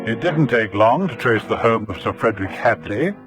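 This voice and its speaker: A man's voice: on the low side, expressive, normal speed. A man narrating a detective radio drama in scripted, dramatic storytelling style. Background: music.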